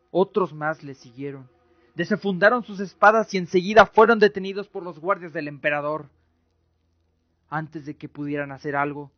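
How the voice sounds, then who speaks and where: A man narrating a scripted radio drama in a solemn, theatrical way. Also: a little high. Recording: muffled lo-fi audio, music behind. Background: music.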